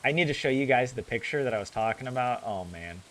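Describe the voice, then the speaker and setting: Young american guy streaming a game, rambly and animated, bit of hiss behind him. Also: medium pitch, fast talker. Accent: general American. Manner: rambling, chatty, self-correcting, laughs often. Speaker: gaming streamer. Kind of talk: casual chat with viewers while playing a farming game.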